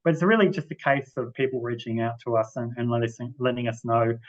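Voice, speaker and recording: Aussie guy explaining stuff on a webinar, bit halting, keeps rewording, sound kinda dull like a video call. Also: mid-range voice, steady tone. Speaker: library manager presenting. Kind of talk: informal explanatory presentation. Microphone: video-call microphone. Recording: muffled video-call audio.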